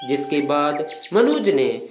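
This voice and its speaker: Lively, unhurried storyteller with roomy echo. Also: medium pitch, music in the background. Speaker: narrator. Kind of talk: scripted moral story narration.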